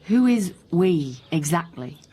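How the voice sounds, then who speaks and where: Low-voiced, probing woman police inspector. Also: very animated, dark tone, breathy edge, heavily acted. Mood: businesslike, probing. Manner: measured, pointed questioning. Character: probing, dry, sceptical female police inspector. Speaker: actor in radio crime drama. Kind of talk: naturalistic radio drama acting.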